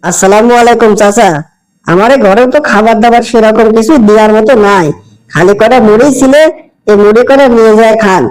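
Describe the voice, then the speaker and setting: A man's voice: high voice, a little fast, a little mellow, smooth, lightly acted, poor audio. A man voicing a kind, devout young village son in a cartoon, earnest and colloquial, with a rural Bangladeshi accent. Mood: gentle. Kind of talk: amateur cartoon voice acting.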